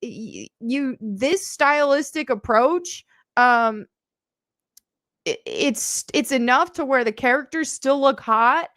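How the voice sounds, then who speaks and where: A woman giving unscripted opinion commentary, halting and repeating words as she qualifies her view. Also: high-pitched, animated, fairly bright tone, dry recording, clean studio sound.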